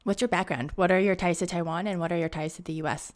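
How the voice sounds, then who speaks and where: A woman's voice: fairly low pitch, steady tone, fast. A woman interviewing a guest and asking direct questions.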